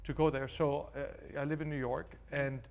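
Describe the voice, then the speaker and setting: A man who grew up in Denmark, interviewed about his business, with a voice pitched a bit higher than most men's. He walks through his points one step at a time, circling back with restarts and fillers as he goes. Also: little pitch movement, moderate pace, creaky, darkish tone, highs cut off. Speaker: startup founder, guest. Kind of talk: interview answers.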